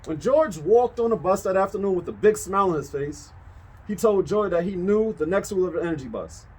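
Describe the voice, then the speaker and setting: A man reading a children's story aloud as a teacher would, with expressive, emphatic delivery. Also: high voice, fairly quick, slightly rough.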